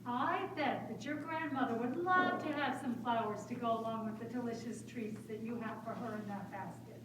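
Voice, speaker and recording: A woman's voice: fairly high pitch, normal speed, close to an everyday voice. Sly fairy-tale wolf voiced by a woman for puppet theatre, very echoey, picked up from far off. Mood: sly, coaxing. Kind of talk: children's puppet show acting. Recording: roomy echo, distant mic, faint noise.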